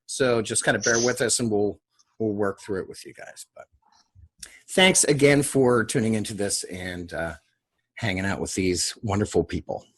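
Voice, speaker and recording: A man hosting and reading stage directions at a screenplay table read, with casual delivery. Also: medium pitch. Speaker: host and stage-direction narrator. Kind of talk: scripted screenplay narration and casual introductions.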